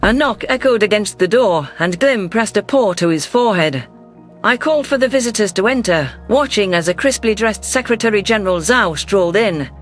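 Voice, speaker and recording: High-voiced male narrator, science fiction. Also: a bit bright. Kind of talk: scripted first-person science fiction narration. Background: faint music.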